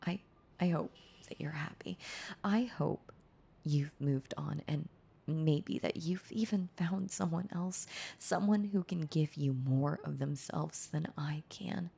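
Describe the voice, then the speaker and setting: A woman playing a heartbroken, tender former girlfriend in an intimate audio roleplay, confessing haltingly in a breathy, husky voice that slips into whispers. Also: sits low, dark tone, heavily acted. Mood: tender, bittersweet. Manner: halting, confessional, repeating words, breaking into whispers.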